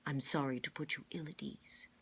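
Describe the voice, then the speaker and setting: A woman voicing a kindly, courteous gentleman in charge in a storybook, calm and deliberate. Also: low-pitched, whispered. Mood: calm, serious.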